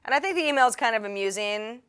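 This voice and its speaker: A woman's voice: a little high, animated, fast, a bit bright, clear, resonant tone. A woman hosting a show, talking to her audience in a chatty, playful, wry way that is part scripted and part casual. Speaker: host of a tech tips show.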